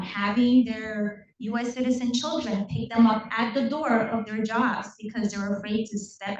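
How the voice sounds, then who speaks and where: Earnest woman in an echoey chamber. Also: medium pitch. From Puerto Rico. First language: Spanish. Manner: earnest, personal, with frequent ums. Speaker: council member. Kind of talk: council meeting statement. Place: council chamber. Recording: echoey chamber, distant dull mic.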